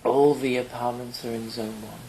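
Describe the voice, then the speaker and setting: A man's voice: mid-range voice, little pitch movement, moderate pace. A man working as a real estate agent, answering interview questions in a casual, matter-of-fact way. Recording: field recording with background noise.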